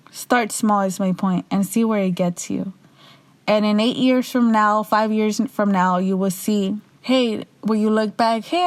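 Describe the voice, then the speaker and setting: A young woman with a raspy voice hosting her own podcast, talking loosely and casually, swearing freely, a little tipsy. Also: fairly high pitch, darkish tone, dry recording. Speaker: podcast host. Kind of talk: casual solo monologue.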